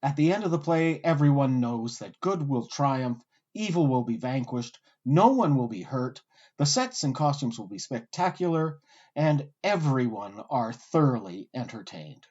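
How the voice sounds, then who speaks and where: A man reading scripted narration with a theatrical, expressive storytelling delivery. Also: mid-range voice, measured pace, muffled recording. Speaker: podcast narrator. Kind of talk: scripted narration about pantomime.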